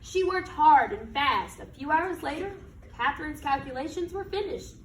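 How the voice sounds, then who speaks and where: A woman's voice: sits high, lively intonation, normal speed. A woman reading a children's picture book aloud with emphatic, dramatic phrasing and questions put to her listeners. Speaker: read-aloud storyteller. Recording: roomy echo, distant mic, background noise.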